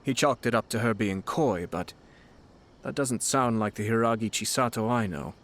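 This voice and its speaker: A man playing a composed, shrewd young noble commissioner in a video game, speaking in a formal, polite, measured way. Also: lowish voice, a bit bright. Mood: calm, thoughtful.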